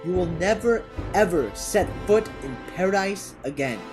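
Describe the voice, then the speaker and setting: Man as outraged, haughty storybook god, loud and high. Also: expressive, normal speed, over music. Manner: loud, indignant, declaiming. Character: outraged, haughty gods of paradise. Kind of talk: storybook character voice.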